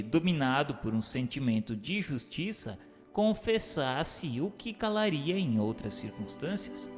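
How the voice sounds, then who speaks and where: A man narrating and posing questions from a religious text in a formal, measured, didactic way. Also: fairly high pitch. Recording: hall-like reverb, music bed.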